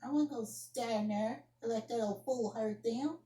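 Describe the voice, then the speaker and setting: An indignant young woman argues her case in an amateur drama monologue, acted out by a woman. Her voice is fairly high yet soft-edged and mellow, in a room with clear echo. She scolds emphatically, repeating herself and restarting as she defends what she did. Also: normal speed, close to an everyday voice. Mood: defensive. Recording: echoey room.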